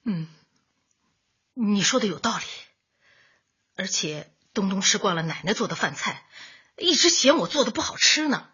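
An actress playing a stubborn but soft-hearted widowed mother in a Chinese radio drama, with a husky, emotional voice. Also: mid-range voice, expressive, normal speed, long pauses, lo-fi sound, heavily acted. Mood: reluctant, softening. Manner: emotional, sighing.

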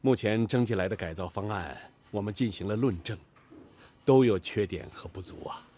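An actor playing a serious, formal senior technical expert with a gravelly voice, in a Chinese radio drama. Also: male voice, fairly low pitch, normal speed, dark tone, slight background noise, lightly acted. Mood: formal, studious.

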